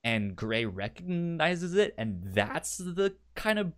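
A man co-hosting a casual book-club podcast, talking in a halting way with many restarts. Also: fairly high pitch, big pitch swings, fairly bright tone. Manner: halting, repeats and restarts words. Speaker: podcast co-host.

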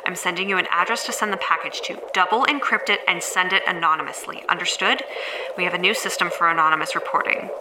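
Woman acting a brisk colleague over background noise. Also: on the low side, natural voice. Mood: brisk, focused. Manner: businesslike, clipped phrases. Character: brisk, busy woman, robot's technical colleague. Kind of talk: audio drama acting.

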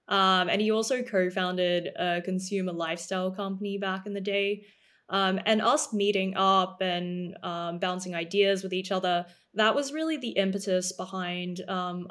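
A woman in her thirties from Australia, a startup co-founder explaining her business in a podcast interview, polished and measured with frequent "um"s. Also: lowish voice, a bit bright. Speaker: guest, startup co-founder and CEO.

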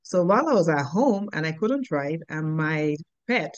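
A middle-aged woman with a Nigerian accent, answering interview questions in an unhurried way with pauses. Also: low voice, expressive, dry recording, muffled recording. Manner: unhurried, simple sentences with pauses and fillers. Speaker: guest, business owner.

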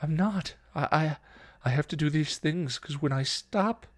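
A man's voice: on the high side, unhurried, pitched above the performer's own voice, lightly acted. A man voicing a young woman, an emotional, protective older sister who scolds, pleads and stammers in a dramatic story reading. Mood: anguished, breaking down.

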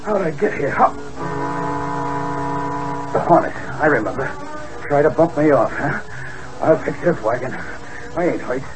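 A man's voice: on the high side, animated, measured pace, long pauses, over music, poor audio. A slangy tough-guy gangster. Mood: scheming. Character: tough streetwise male gangster henchman, boastful. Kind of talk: 1940s radio acting. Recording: hissy old radio broadcast.

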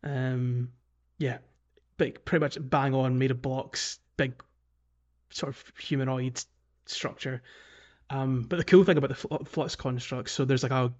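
A man hosting a casual video game discussion, speaking in a hesitant, halting way. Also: medium pitch, steady tone, a little mellow, muffled recording. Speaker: podcast host.

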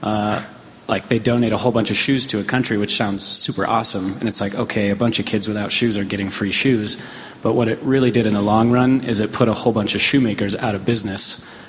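A man's voice: fairly low pitch, fairly even intonation, fast, fairly bright tone. A man casually explaining a point to a group, colloquially and by example. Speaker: discussion participant. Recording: echoey hall, faint noise.

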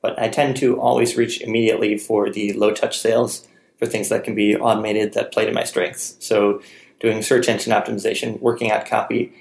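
A man hosts a casual podcast in a chatty, rambling way, poking fun at himself. His voice has a gravelly fry. The room around him is echoey. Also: lowish voice. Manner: chatty, rambling, self-deprecating. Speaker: podcast host. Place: room in Palo Alto, California.

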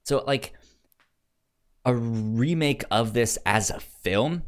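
Animated man chatting casually about film. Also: mid-range voice. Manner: halting, repeats words as he thinks. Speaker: film podcast co-host.

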